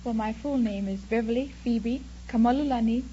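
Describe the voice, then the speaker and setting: Polite, mellow-voiced woman on vintage radio. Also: a little high, moderate pace. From Honolulu, Hawaii. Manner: polite, brief answers. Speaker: quiz show contestant. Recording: dull vintage radio broadcast, faint noise.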